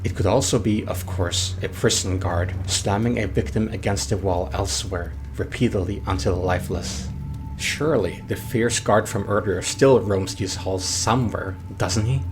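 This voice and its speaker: Male narrator, very low voice, analytical scripted voice-over, loud music under. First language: Norwegian. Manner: analytical, measured.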